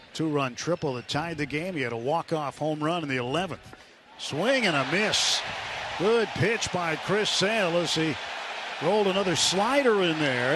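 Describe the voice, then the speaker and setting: An American man calling a live baseball game as a radio play-by-play announcer. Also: high-pitched. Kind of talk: live sports commentary. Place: stadium broadcast booth. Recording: noisy ballpark radio broadcast.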